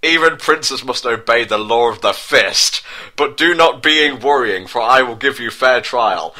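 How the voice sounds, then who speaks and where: A man's voice: mid-range voice, a little slow, bright, forceful tone. Man putting on a brash macho cartoon cat. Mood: boastful. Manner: pompous one-liners in stilted broken English.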